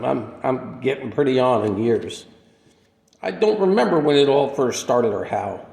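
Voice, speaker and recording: A man playing an aging, modest, wistful drifter in a radio play, speaking in a halting, plainspoken, reflective way. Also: medium pitch, vocal fry, darkish tone. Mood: wistful, puzzled. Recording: echoey hall-like reverb.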